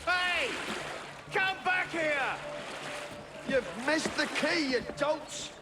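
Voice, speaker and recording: An actor playing an angry guard who bellows in a video game, drawing out his shouts. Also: extremely high pitch, expressive, heavily acted. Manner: drawn-out shouting. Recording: very noisy waterside game soundtrack.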